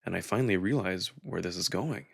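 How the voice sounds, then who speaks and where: A man performing dramatic first-person narration of a visual novel in an intimate, expressive storytelling manner. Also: sits low, brisk, creaky, no room sound, clean studio sound.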